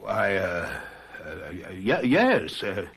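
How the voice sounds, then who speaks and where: A tyrannical village lord from a 1970s radio drama. He speaks slowly and formally, with theatrical swings of pitch. His manner is imperious, pompous and menacing. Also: very animated, fairly bright tone, breathy edge, heavily acted. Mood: dazed, hesitant.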